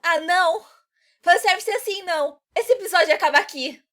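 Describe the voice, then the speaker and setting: A woman acts out an outraged cartoon objector. The voice is extremely high and shrill, exclaiming with indignation. Music plays clearly behind it. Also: normal speed.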